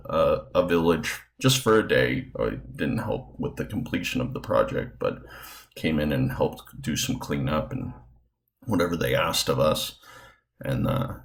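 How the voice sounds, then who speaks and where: A man teaching a lesson casually, speaking in a halting, unscripted way. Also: very deep voice, gravelly.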